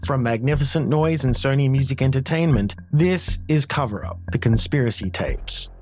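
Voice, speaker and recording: A man reads a scripted documentary trailer. His voice is mid-pitched, with faint music underneath. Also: normal speed, a little mellow. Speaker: podcast trailer narrator. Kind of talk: scripted documentary promo narration.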